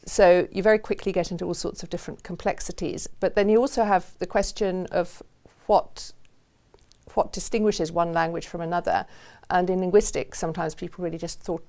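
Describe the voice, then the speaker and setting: A middle-aged woman speaks on a studio panel in a slightly low voice. She explains at length, pausing with frequent ums as she thinks. The lapel mic keeps her close and clean. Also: little pitch movement, darkish tone. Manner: thoughtful, frequent um pauses and restarts. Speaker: academic panelist. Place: studio with balloons.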